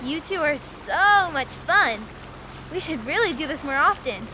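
An actress playing a plucky, curious young daughter with an eager, sarcastic edge in a family audio drama. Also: female voice, high voice, animated, a little slow, sharp tone, slight background noise, exaggerated voice, poor audio.

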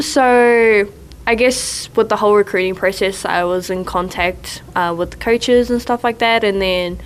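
A young woman from New Zealand with a New Zealand accent, a college basketball player answering interview questions in a relaxed way, laughing often and using lots of fillers. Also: medium pitch, a little hiss or hum. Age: young adult.

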